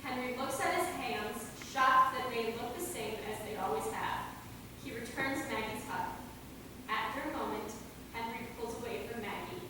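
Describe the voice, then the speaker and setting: A woman's voice: on the high side, normal speed. A woman narrator reading scripted stage directions at a staged play reading. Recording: echoey hall, distant mic, noisy.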